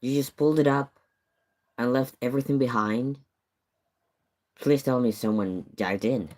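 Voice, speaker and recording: A man's voice: medium pitch, unhurried, a little mellow. A man streaming live, reacting to news footage and firing pointed, disbelieving questions back at it.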